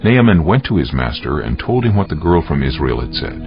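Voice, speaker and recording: Male scripture narrator, extremely low, lively intonation, scripted, slightly dull sound. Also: fairly quick. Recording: dull lo-fi, music underneath.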